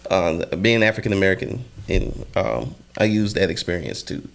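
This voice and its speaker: A man hosting a casual podcast interview, telling stories in an animated way with many fillers and loud bursts. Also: low voice, moderate pace, vocal fry. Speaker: podcast host.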